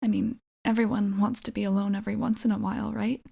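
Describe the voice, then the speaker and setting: A woman playing an awkward, nervous young vampire roommate in an ASMR roleplay, halting and rambling apologetically. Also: medium pitch, level tone, vocal fry, dark tone, slightly rough. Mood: musing, relaxed.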